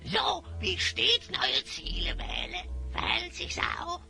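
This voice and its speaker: Shrill, creaky hyena-headed spy. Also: male voice, huge pitch swings, slow pace, sharp tone, soft background music, full character voice. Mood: sly, boastful. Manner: speaks theatrically in rhyme, obsequious. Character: sly, flattering hyena-headed male spy, two-faced schemer. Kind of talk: German fantasy audio drama acting.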